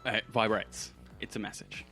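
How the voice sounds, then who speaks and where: A man's voice: lowish voice, steady tone, normal speed, creaky, pushed and bright, soft background music. A man narrating a tabletop roleplaying game as game master, informal and descriptive.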